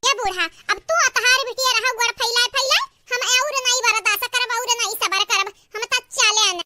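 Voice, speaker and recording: A performer voicing a melodramatic, aggrieved village sister-in-law in a family comedy cartoon, with a squeaky pitched-up voice, a Bhojpuri-Awadhi North Indian accent and a theatrical, scolding, wailing delivery. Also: a little fast, bright, forceful tone, a little hiss or hum, heavily acted. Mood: angry, threatening. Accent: Bhojpuri-Awadhi belt of North India. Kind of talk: exaggerated family comedy cartoon dialogue in rural dialect.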